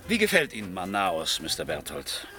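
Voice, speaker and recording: Suave villainous oil executive: low, sharply bright voice, mock-courteous pauses, radio drama, music behind. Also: male voice, wildly swooping pitch, exaggerated voice. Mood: suave, genial. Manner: urbane, mock-polite, hesitant drawl with pointed pauses. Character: suave, menacing American oil company boss in Brazil. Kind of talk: German radio play acting. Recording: studio radio play with background music.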